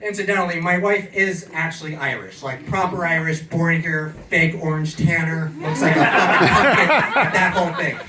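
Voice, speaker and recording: A man's voice: fairly high pitch, moderate pace, hardly any pauses, pushed and bright. An American man from California performing a sardonic stand-up set in a bar's comedy club, punctuating his lines with "okay?". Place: comedy club in a bar, live audience. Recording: roomy distant club recording with crowd.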